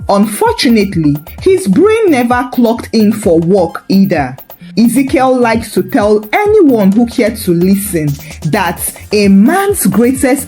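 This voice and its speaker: A woman's voice: medium pitch, dark tone. A woman narrating a scripted story with wry, dramatic emphasis.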